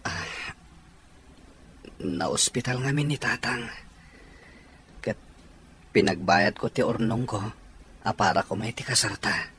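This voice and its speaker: A man's voice: on the low side, slow pace, pauses often, a bit bright, slight background noise. A man with an Ilocano accent playing an apologetic, embarrassed young man, a devoted son, in a radio drama. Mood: ashamed, hesitant.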